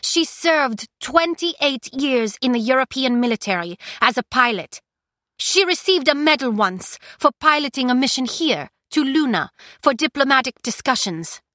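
A woman voicing a hoarse, defiant young prisoner. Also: sits high, animated, normal speed, pushed and bright, no echo, studio quality, pitched above the performer's own voice. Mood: defiant, raised.

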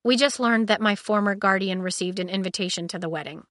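A woman's voice: mid-range voice, a little fast, a bit bright, no echo, studio quality, natural voice. A woman voicing a guarded young heroine who speaks tersely and wryly. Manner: wry, terse, muttering.

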